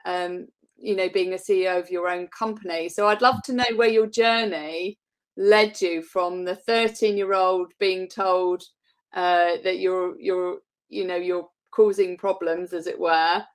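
A woman's voice: fairly low pitch, fairly even intonation, measured pace. Woman hosting a health podcast. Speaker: podcast host, doctor. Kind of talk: interview questions.

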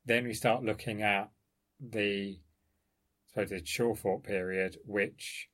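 Low-voiced man explaining research. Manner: explanatory, discursive, hedging. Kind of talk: informal academic discussion.

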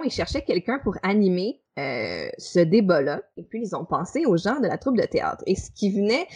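A woman's voice: on the low side, a little mellow, husky. A woman in her thirties with a Québécois accent, a podcast guest telling an anecdote casually, with many hesitant fillers and light laughter between phrases. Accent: Québécois French. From Quebec. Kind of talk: casual storytelling.

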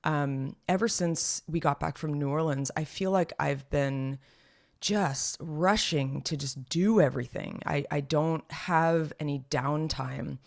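Chatty woman with a slightly raspy voice. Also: low-pitched, slow. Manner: chatty and rambling, many fillers and restarts. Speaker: podcast co-host. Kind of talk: casual chat.

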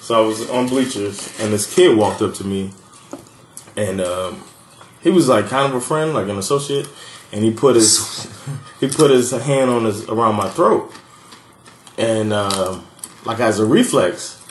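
Man from Miami, fairly high voice, animated storyteller with easy laughter and swearing; roomy sound, faint background ambience. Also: measured pace, pauses often, a little hiss or hum. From Miami, USA. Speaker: podcast co-host. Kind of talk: casual storytelling chat.

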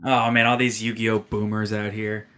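A man in his mid-twenties with a fairly low voice. He hosts casually, in an easy back-and-forth conversational style with some teasing banter. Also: little pitch movement, normal speed, pushed and bright, slight background noise. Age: mid-20s. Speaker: podcast host. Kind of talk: casual chat.

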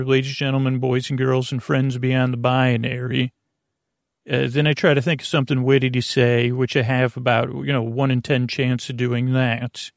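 A man hosting a bedtime podcast in a creaky voice, wandering haltingly through tangents and tripping over his words. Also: medium pitch, no echo, studio quality. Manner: meandering, halting, digressive, stumbling over words. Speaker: podcast host. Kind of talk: rambling bedtime podcast monologue.

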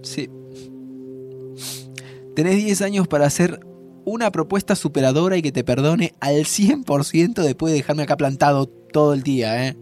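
Young Argentine male actor, playful time traveller, higher-pitched and expressive, audio fiction with music underneath. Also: moderate pace, natural voice. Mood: teasing. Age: young adult. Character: young man time traveller, cheeky, playful and warm-hearted. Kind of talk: naturalistic audio drama acting.

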